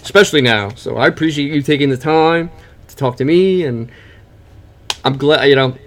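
A man's voice: a little high, moderate pace. A talkative musician in his mid thirties chatting casually in an interview, rambling along in slangy, informal speech. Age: mid 30s. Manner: informal, slangy, calls listener bro and man. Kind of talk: casual chat.

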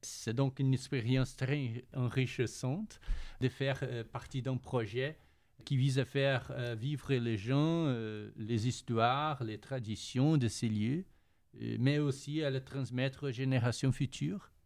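A man's voice: medium pitch, steady tone, slow, a little mellow. A young man from Brazil, a native Portuguese speaker with a Brazilian Portuguese accent, introducing himself hesitantly with many fillers. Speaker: podcast columnist. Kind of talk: self-introduction in casual chat.

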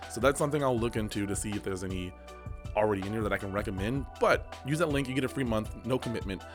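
Hoarse fast-talking man with music behind. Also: fairly low pitch. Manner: rambling, self-correcting, laughs often. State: hoarse, lost voice. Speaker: podcast host and esports coach. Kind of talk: casual solo podcast monologue.

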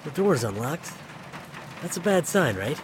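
A man's voice: fairly high pitch, sing-song, unhurried, background noise. A man playing a nervous, good-hearted young delivery guy in an audio drama, hesitant and stammering. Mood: nervous calling out. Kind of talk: naturalistic audio drama acting.

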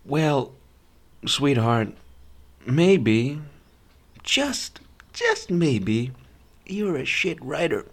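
A man's voice: on the high side, big pitch swings, very slow, pauses often. A young man from Serbia, hosting a podcast in a sarcastic, profane, blunt rant. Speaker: podcast host. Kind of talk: ranting monologue.

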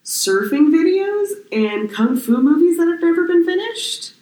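Animated woman comedian chatting. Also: extremely high pitch, unhurried. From Northern California. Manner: animated, laughs often, many filler words. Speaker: podcast co-host and comedian. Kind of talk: casual podcast chat. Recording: roomy echo.

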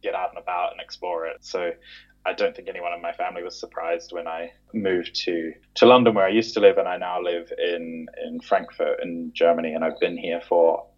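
A man's voice: low-pitched, level tone, a little fast. A man with a New Zealand accent chatting casually as a podcast guest about his own experiences. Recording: dull, narrow lo-fi connection.

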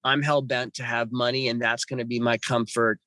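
A man in his fifties from Los Angeles tells his life story in a loose, rambling way, full of fillers and restarts. His voice sits at an ordinary middle pitch and sounds dry and close. He speaks from home over a video call. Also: level tone, moderate pace, sharp tone, no echo. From Los Angeles, California. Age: 50s. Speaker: guest. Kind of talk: podcast interview storytelling. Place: home with birds nearby.

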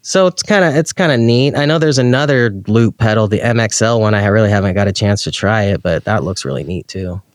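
A man's voice: fairly low pitch, animated, brisk, hardly any pauses, no echo, clean studio sound. A man speaking as an interview guest about gear he loves, in casual, slangy, laid-back enthusiasm. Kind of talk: casual chat.

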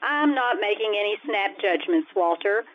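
An actress playing a sardonic high school English teacher with dry, deadpan asides in an old-time radio comedy. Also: female voice, normal speed, lightly acted. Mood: dry, amused. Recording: tinny, phone-like old radio-style sound.

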